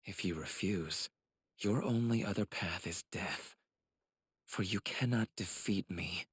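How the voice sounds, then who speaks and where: A cold, controlling young male demon from an anime game. The voice is low, heavily husky and breathy, recorded dry. He talks down to his prey with quiet, deliberate menace. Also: no echo, exaggerated voice. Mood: threatening. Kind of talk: English anime game voice acting.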